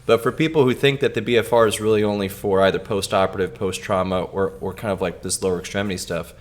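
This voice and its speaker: A man co-hosting a podcast, telling a patient story in a halting way with many repeated words. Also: lowish voice, fairly even intonation. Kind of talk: casual clinical storytelling.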